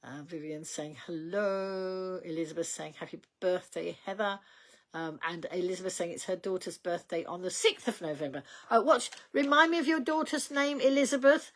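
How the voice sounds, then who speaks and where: An older woman hosting a casual livestream, rambling in a chatty way with many ums and small laughs, her voice going slightly croaky. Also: fairly low pitch, moderate pace, a bit bright. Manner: chatty, rambling, reads out viewer comments, frequent ums and laughs. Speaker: livestream host.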